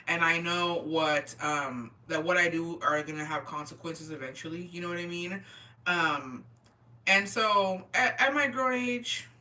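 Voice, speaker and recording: Woman in her twenties, very bright forceful voice, tipsy, casual livestream chat, slangy and sweary, Spanish words mixed in, a bit far from the mic. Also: lowish voice, measured pace. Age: 20s. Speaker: livestream host chatting with viewers. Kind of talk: casual livestream chat, reading and answering comments. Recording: voice distant from the microphone.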